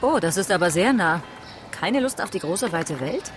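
An actress plays a female police commissioner in a radio drama, her voice on the low side. She is assertive and unimpressed, putting dry, pointed questions in natural speech over a clear bed of background music. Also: expressive, moderate pace, close to an everyday voice. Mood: dry, guarded.

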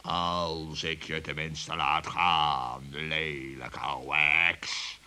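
A very deep, slow and forceful polar bear, working as a customs officer in a children's radio play. He is gruff, stern and blunt. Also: little pitch movement, exaggerated voice. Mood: gruff, officious.

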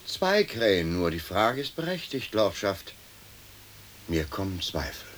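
An actor playing a courteous, pensive gentleman in a radio play, speaking formally and deliberately on a hissy old recording. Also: low-pitched, sing-song, heavily acted. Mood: hushed wonder. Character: courteous, pensive gentleman arguing with His Lordship. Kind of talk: stereo radio play acting.

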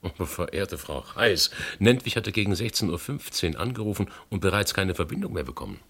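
Probing investigator, low-pitched, very varied intonation, gravelly, formal, sceptical irony, radio play. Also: male voice, expressive, fairly bright tone, full character voice. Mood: dry irony. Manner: deliberate questioning, formal, insinuating. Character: male investigator, probing, politely ironic and sceptical. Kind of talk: German radio play dialogue.

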